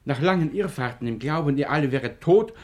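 A man's voice: a little high, lively intonation, a little fast, a little mellow, natural voice. An actor playing a noble, generous older father in a classic radio play, solemn and declamatory. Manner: stately, declamatory.

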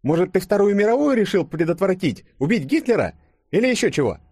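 A man's voice: high-pitched, sing-song, quick pace, darkish tone, breathy edge, no echo, clean studio sound, voice pushed up, full character voice. A man voicing a feisty, bossy young woman who speaks in a snappy, demanding way for an audiobook. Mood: angry, demanding.

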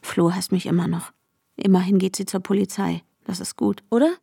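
An actress playing an anxious, insistent woman searching for her vanished lover, urgent and often hushed, in a radio drama. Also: mid-range voice, steady tone, fairly quick, vocal fry, breathy edge. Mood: brooding, intimate. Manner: urgent, questioning, often dropping to a hushed whisper. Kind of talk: radio drama dialogue and intimate first-person narration.

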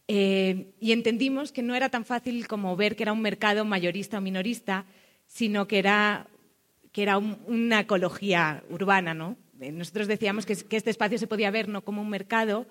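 A woman from Spain with a Spanish accent, presenting a community project at a public panel as a cultural manager. She speaks thoughtfully, pausing on fillers and often closing a point with a questioning "no?". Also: medium pitch, fairly bright tone.

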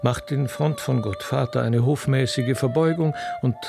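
A man narrating stage directions in a deliberate, theatrical way, as in scripted radio drama. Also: mid-range voice, creaky, over music.

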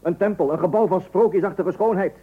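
An actor playing an excitable, fretting husband in a radio play, blustering and theatrical about household matters. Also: male voice, high-pitched, a little fast, breathy edge. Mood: agitated. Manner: blustering, dramatic, emphatic repetition. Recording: muffled narrow-band old radio recording.

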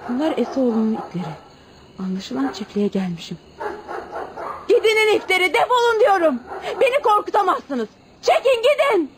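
A woman's voice: extremely high pitch, very animated, normal speed. A woman playing an emotional, stubborn young village daughter who pleads and commands in a radio play. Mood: furious, defiant. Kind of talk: Turkish radio theatre acting. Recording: noisy old radio play recording.

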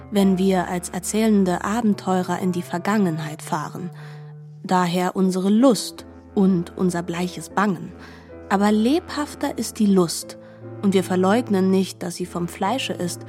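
Radio drama: woman playing solemn, contemplative narrator of myth, mellow and unforced, measured literary phrasing. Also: medium pitch, natural voice. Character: solemn, musing female narrator of a mythic novel.

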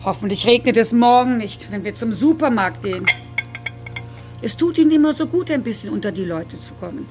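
A woman's voice: on the high side, expressive, a little fast, music in the background, lightly acted. Woman playing a fussing, chatty housekeeper. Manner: chatty, fussing, motherly small talk. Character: chatty older female housekeeper and carer, fussing.

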